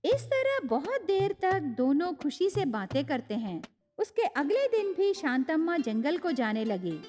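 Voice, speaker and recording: A woman's voice: high-pitched, sing-song, measured pace, darkish tone. A woman narrating a scripted moral story for children. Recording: long reverb with faint music.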